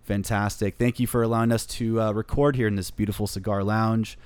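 Enthusiastic low-voiced male host. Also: little pitch movement, fairly quick, a little mellow, clear, resonant tone, no room sound. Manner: enthusiastic, repeats praise. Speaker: podcast host. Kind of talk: interview hosting. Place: cigar lounge.

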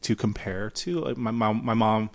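A man's voice: fairly low pitch, moderate pace. A young adult man whose first language is Mandarin, talking in a laid-back, hesitant way with many ums in a casual conversation.

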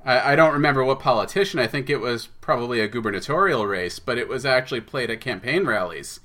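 Bright, clear-cut man chatting. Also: medium pitch, animated, fast. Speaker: podcast guest, audio drama writer. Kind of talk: conversational storytelling and explaining.

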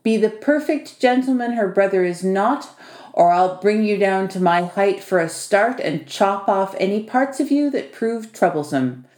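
A woman's voice: medium pitch, animated, unhurried, a little mellow, lightly acted. Woman playing a bossy, wry little male house spirit. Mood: teasing, warning. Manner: wry and teasing, with foreign-sounding turns of phrase. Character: short, bossy, dryly witty male domovoi house spirit. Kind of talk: audiobook character dialogue. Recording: echoey room.

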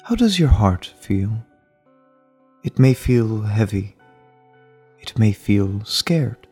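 A man playing a gentle, caring relaxation guide, leading a guided meditation in a calm, soothing, reassuring manner. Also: on the low side.

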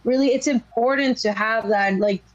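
A young woman from Sweden with a naturally raspy voice, a musician guest talking in a loose, rambling way with lots of fillers. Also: on the high side, moderate pace, no echo, muffled recording. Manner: rambling, many fillers and restarts. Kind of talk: casual interview chat.

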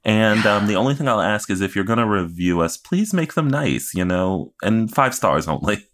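A man's voice: lowish voice, expressive, moderate pace, a bit bright. A man co-hosting a podcast in casual banter, with a blunt, teasing, sardonic manner. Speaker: podcast co-host.

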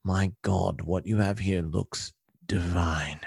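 A man voicing a preacher who confides his refined tastes quietly in a put-on British accent, in a comedy story. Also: low voice, steady tone, takes it slowly, vocal fry, husky, no echo, studio quality, voice pushed down, exaggerated voice. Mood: confiding. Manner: hushed, confiding, rapturous. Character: country preacher secretly a refined British cheese lover.

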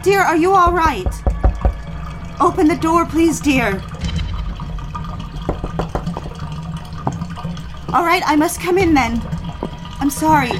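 Woman acting high-voiced, frantic young secretary. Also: measured pace, over music. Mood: anxious, searching. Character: young secretary Andrea Davies, frantic, devoted, pleading. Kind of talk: audio drama acting.

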